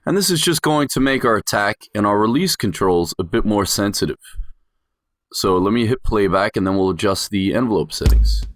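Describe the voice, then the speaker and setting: A man's voice: fairly low pitch, animated, moderate pace, dry recording, studio quality. A man giving an explanatory voice-over tutorial as a music production instructor.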